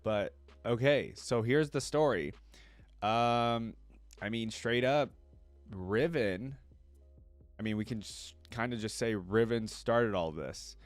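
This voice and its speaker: A man's voice: mid-range voice, slow pace, dry recording, faint music behind. A man hosting a reaction video, reading story text aloud and adding casual, exclamatory commentary. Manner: informal, exclamatory asides.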